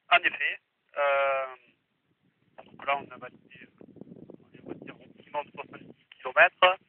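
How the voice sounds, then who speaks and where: A man's voice: a little high, gravelly. A man chatting casually as a radio operator, heard through a walkie-talkie transmission. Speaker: radio operator, remote contact. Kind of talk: casual two-way radio chat. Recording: narrow, tinny walkie-talkie radio link.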